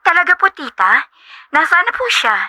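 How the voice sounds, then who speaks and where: A woman's voice: very high-pitched, very animated, moderate pace, bright, forceful tone, dry recording, exaggerated voice. A woman voicing an eager, sweet young socialite in a dramatized audio story, gushing and deferential. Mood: eager, fawning.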